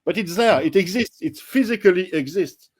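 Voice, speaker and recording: A blunt, firm man who explains points in a measured way. His voice sits a little high, and he speaks as a guest researcher on a casual panel. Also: lively intonation, darkish tone, husky.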